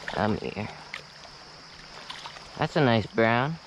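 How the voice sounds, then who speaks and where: A man giving low-key running commentary to himself while fly fishing, recorded outdoors on a head-mounted action camera. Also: lively intonation. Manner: low-key, short remarks to himself and the fish. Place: outdoors wading a small stream. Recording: action camera with loud stream noise. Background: rushing stream water.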